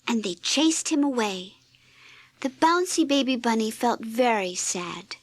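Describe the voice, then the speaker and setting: A woman reading a scripted children's read-along story in an expressive, deliberate storytelling manner. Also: a little high, no echo. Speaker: storybook narrator.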